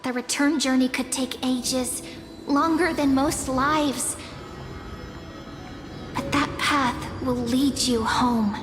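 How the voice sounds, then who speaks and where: A gentle spirit in a video game, speaking slowly and softly, with earnest warnings and a lonely undertone. Her slow lines hang in a long reverb over music. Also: high voice, steady tone. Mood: reassuring. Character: gentle, lonely young woman spirit of a void. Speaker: game character.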